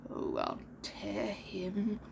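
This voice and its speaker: A woman voicing a menacing one-handed male pirate captain who talks darkly, slowly and in a hoarse whisper in a storybook reading. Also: mid-range voice, huge pitch swings, slight background noise, heavily acted. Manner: dark, brooding, slow, growling and whispery.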